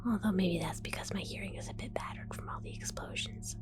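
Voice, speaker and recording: A woman voicing a scatterbrained female mad scientist in an ASMR roleplay, whispering softly, giggling and stammering as she falsely reassures. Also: medium pitch, steady tone, fairly quick, natural voice. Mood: sly whisper. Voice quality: soft whisper.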